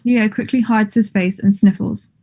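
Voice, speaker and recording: A woman's voice: medium pitch, steady tone, normal speed, mellow. A woman reading stage directions as scripted narration at a table read of a play.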